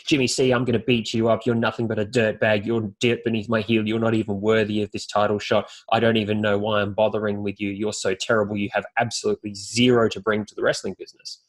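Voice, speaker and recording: A man's voice: on the low side, little pitch movement, quick pace, few pauses. An Australian man speaking as a podcast guest and announcer, explaining things articulately and building his points in lists. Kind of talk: podcast interview talk.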